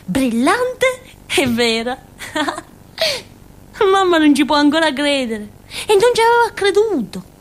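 An actress playing a naive, giggly village outcast woman with a Calabrian accent, in a halting, repetitive theatrical monologue. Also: high voice, big pitch swings, exaggerated voice. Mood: exalted, insistent. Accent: southern Italian, Calabrian.

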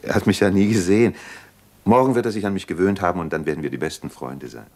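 A man's voice: low voice, fast talker, darkish tone. An actor playing a forbearing young father in a German radio drama, speaking in a placating way.

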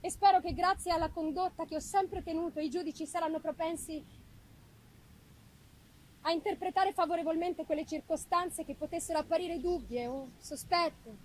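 A woman voicing a wretched young accused woman pleading her innocence, solemn and deliberate, in a gothic novel reading. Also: very high voice, slight background noise, low-quality recording. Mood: pleading, dignified. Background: live music.

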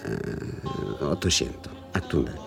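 A man's voice: a bass voice, lively intonation, takes it slowly, creaky, music in the background, heavily acted. An actor playing a shrewd, talkative Italian informant with an Italian accent who mixes Italian words into his speech in a radio drama. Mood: haggling, animated. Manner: slips Italian words into broken German.

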